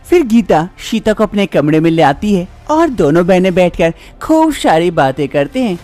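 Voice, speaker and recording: A woman narrating a cartoon story in an even, steady manner. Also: on the low side, moderate pace, soft background music.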